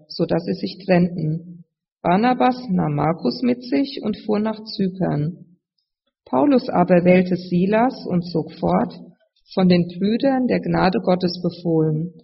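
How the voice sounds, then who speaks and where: Husky preacher with a slight cold, echoing hall. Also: mid-range voice. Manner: measured, explanatory, speaking to a congregation. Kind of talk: sermon with scripture reading. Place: large reverberant room, live address. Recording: muffled, long hall reverb.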